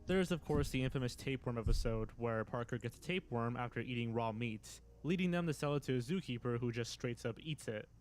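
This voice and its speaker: A man's voice: medium pitch, fast talker, hardly any pauses. A man reading scripted narration for a countdown video. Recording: voice-over with background music. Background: music.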